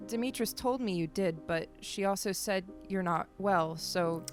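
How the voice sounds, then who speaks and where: An actress playing a gentle, concerned starship passenger in an audio drama, speaking tentatively and considerately. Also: female voice, medium pitch, unhurried, faint music behind, lightly acted.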